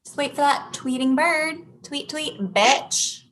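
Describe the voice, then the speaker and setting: A woman's voice: high-pitched, steady tone, takes it slowly, fairly bright tone. A woman playing a snide, vindictive show guest in a comedy table read. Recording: faint noise and room ambience.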